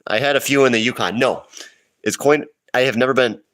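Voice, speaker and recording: A man chats on a livestream and reads out viewer questions one after another. His voice sits at an ordinary middle pitch and sounds a bit sniffly. He answers offhand and rambles, with plenty of restarts. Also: a little fast, fairly bright tone. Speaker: livestream host answering chat. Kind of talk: casual livestream chat.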